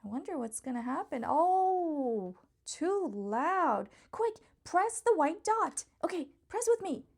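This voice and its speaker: A woman's voice: very high voice, big pitch swings, takes it slowly, creaky, darkish tone. A woman doing an interactive picture book read-aloud for young children, coaxing and praising them in a playful way. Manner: encouraging, coaxing, addressing small children directly; playful, exclamatory reactions.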